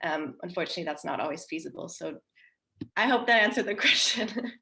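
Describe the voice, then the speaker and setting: A woman's voice: fairly low pitch, lively intonation, brisk, vocal fry, pushed and bright. A Danish woman in her twenties with an American accent, a marine researcher talking casually as a guest, with many restarts and fillers. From Denmark. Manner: rambling, frequent restarts and fillers. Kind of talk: casual livestream talk.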